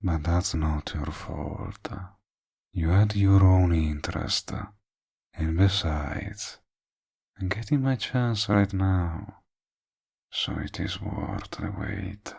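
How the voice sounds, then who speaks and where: Man playing a low, gravelly, lovestruck villain. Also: a little mellow, exaggerated voice. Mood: tender. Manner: slow, murmuring, teasing. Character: lovestruck male villain, smug yet tender, persistent suitor. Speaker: voice actor. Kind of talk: intimate romantic audio roleplay to the listener.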